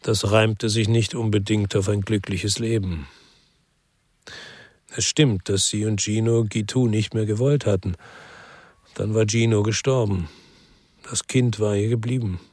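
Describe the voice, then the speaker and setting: An actor playing a brooding former policeman who narrates his own crime story in a measured, terse way for radio drama. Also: lowish voice, darkish tone, dry recording. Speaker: first-person narrator and lead actor.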